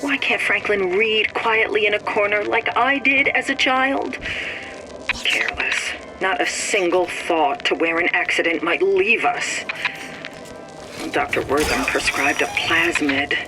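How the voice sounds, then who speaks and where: A woman's voice: medium pitch, a little slow, music in the background, close to an everyday voice, rough recording. An actress voicing an anxious, fussy, exacting upper-class mother in a video game audio diary. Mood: fretful. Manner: fretful, exacting.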